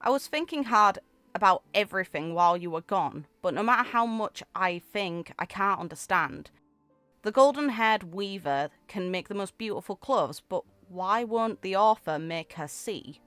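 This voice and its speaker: A woman's voice: mid-range voice, lively intonation, normal speed, fairly bright tone, clean tone, dry recording. A woman gaming creator reading story text aloud expressively, with casual commentary. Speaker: gaming video creator reading game character lore aloud. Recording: music playing under the voice. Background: background music.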